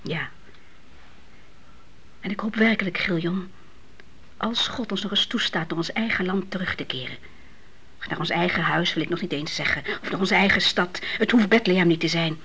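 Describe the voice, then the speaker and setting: Husky, devout, grieving old mother. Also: lowish voice, moderate pace, pauses often, sharp tone, full character voice. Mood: solemn, concerned. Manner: solemn, sorrowful, firm. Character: grieving, devout old widowed mother, stern and unyielding in faith. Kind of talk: radio play dialogue.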